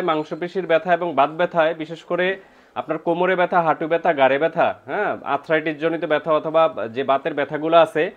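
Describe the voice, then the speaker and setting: Slightly high-voiced Bangladeshi man presenting products. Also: lo-fi sound. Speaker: medical equipment seller and presenter. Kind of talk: informal product demonstration and sales pitch.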